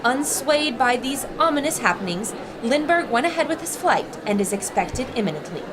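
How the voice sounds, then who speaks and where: An intrepid 1920s newswoman proclaims in a high, plucky voice in classic radio drama style. She sounds determined and eager. A clear bed of ambient noise sits behind her. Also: sing-song, normal speed. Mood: proud, excited reporting. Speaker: actor in audio drama. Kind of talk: old-time radio drama acting.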